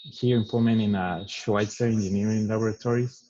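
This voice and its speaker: A man's voice: lowish voice, steady tone, dark tone. Hesitant man, lo-fi audio. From Colombia. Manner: hesitant, halting. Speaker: engineer. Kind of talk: self-introduction on a video call. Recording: dull, lo-fi call audio.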